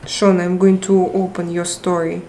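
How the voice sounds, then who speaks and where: A middle-aged woman with a Russian accent chatting casually on a live stream, halting and restarting often as she searches for words. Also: on the low side, flat delivery, warm tone, a little hiss or hum. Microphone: tablet microphone.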